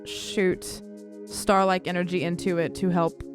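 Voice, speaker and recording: A woman chatting casually and playfully as a player in a tabletop fantasy game, with a giggly, animated way of talking. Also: fairly low pitch, unhurried, over music. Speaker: player in an actual-play tabletop game podcast.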